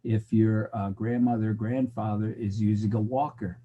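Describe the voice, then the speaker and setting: A man's voice: fairly low pitch, fairly even intonation, normal speed, dark tone, dull audio, slight background noise. An older American man giving a recorded sociology lecture, talking in a loose, conversational way with digressions, and acting out the exchanges he quotes. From United States. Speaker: sociology instructor.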